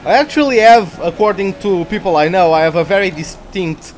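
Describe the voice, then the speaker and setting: A man's voice: sits high, a little fast, low-quality recording. A man from Portugal with a Portuguese accent, chatting and rambling as he streams live commentary on a racing game. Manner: chatty, rambling, easily distracted. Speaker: gaming streamer.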